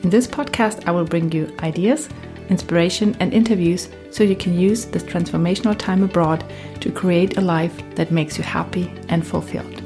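A woman's voice: fairly low pitch, normal speed, over loud music. A woman from Germany, a native German speaker with a German accent, presenting a solo podcast as host and coach in a conversational way.

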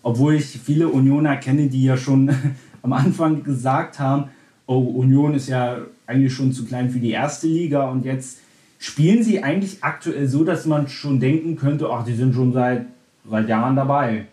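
A man's voice: medium pitch, fairly even intonation, moderate pace, warm tone, roomy sound. A man born in Berlin, hosting a casual football podcast, talking in a chatty way with frequent restarts and fillers. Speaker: podcast host.

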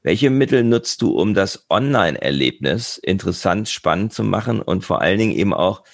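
Male host with a very low voice, thoughtful and affirming, dry close recording. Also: lively intonation, normal speed, creaky, no room sound. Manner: attentive, frequent affirming murmurs, thoughtful rambling questions. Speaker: podcast host, interviewer. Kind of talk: casual conversational interview.

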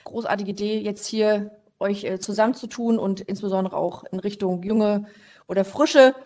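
A woman's voice: mid-range voice, steady tone, moderate pace, darkish tone. A woman hosting a conversational interview, encouraging her guest and addressing the listeners directly. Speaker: interviewer, podcast host.

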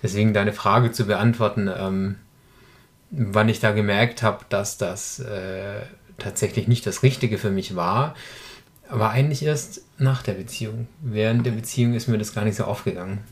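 A man's voice: lowish voice, moderate pace. A man in his thirties, a podcast guest, talking reflectively in casual conversation with many hesitations. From rural Germany. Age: 30s.

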